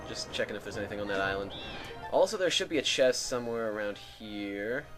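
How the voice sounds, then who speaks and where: A man with a dry, raspy throat rambling casually as a let's play host. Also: fairly low pitch, a bit bright. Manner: rambling, chatty. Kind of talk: casual gameplay commentary. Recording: home mic with static.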